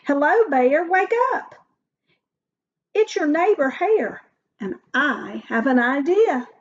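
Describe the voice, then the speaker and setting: A woman voicing a clever, eager male hare in a storybook, with a persuasive, animated manner. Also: sits high, takes it slowly, pitched above the performer's own voice. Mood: calling out. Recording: dull lo-fi recording.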